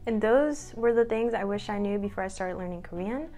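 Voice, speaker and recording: A woman's voice: mid-range voice, fairly quick, dark tone, faint music behind. A young woman in her twenties talking casually to the camera at home, chatty and upbeat, laughing at herself now and then. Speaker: vlogger.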